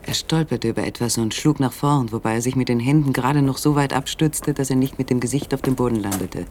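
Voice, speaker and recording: Man, scripted literary narration, medium pitch, dramatic pauses, suspenseful, faint background noise.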